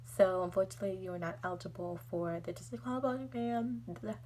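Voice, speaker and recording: A woman's voice: medium pitch, quick pace, darkish tone, a little hiss or hum. A young woman telling a personal story in a casual, exasperated, rambling vlog style. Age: young adult. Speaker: vlogger.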